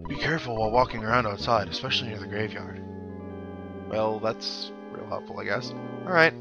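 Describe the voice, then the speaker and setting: A man's voice: fairly low pitch, fairly quick, creaky. A man giving casual, rambling and wry commentary as he plays a video game, musing aloud. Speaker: host of a video game playthrough series. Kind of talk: casual let's play commentary. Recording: dull lo-fi mic under loud game music. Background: video game music.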